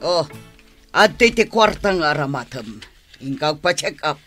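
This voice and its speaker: A woman's voice: sits low, animated, a little slow, fairly bright tone, faint music behind. A woman acting a stern, wounded middle-aged mother in a radio drama, scolding in exasperation. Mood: stern, exasperated.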